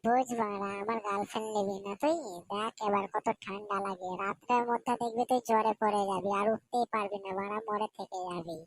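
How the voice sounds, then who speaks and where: A cheeky village boy from a comic cartoon, voiced by a woman, bickering in colloquial speech. The pitch sits in the middle, and the manner is boastful and taunting, like a childhood friend who loves to argue. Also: steady tone, a little fast, few pauses, very noisy, close to an everyday voice.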